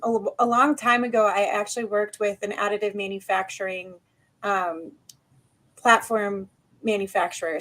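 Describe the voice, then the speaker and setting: A woman's voice: mid-range voice, fairly bright tone. An American woman hosting a live interview over a video call, upbeat and chatty as she greets the guest and the viewers. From United States. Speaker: podcast host.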